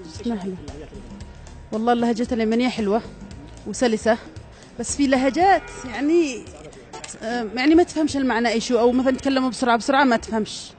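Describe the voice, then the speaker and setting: A woman's voice: fairly high pitch, racing, long pauses, a little mellow, soft background music. A woman from Sanaa with a Sanaani accent chatting agreeably in a street interview. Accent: Sanaa Yemeni. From Sanaa, Yemen. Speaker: passerby interviewee.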